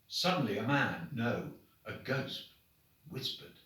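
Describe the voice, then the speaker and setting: A man, a headmaster, reading short stories aloud to children in an expressive, deliberate, dramatic storybook manner. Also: fairly low pitch. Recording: very echoey room, distant microphone.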